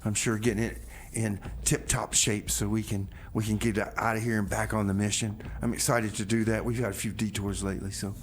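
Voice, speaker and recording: A man's voice: lowish voice, little pitch movement, a little fast, few pauses, slight background noise. A man hosting a radio music show in a laid-back, rambling way, often repeating himself. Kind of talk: casual radio chat. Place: radio studio.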